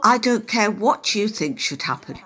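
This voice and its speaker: An actress playing a humourless, by-the-book care worker in an amateur radio play, with stiff, over-formal wording. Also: female voice, mid-range voice, animated, moderate pace, sharp tone, breathy edge. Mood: defiant.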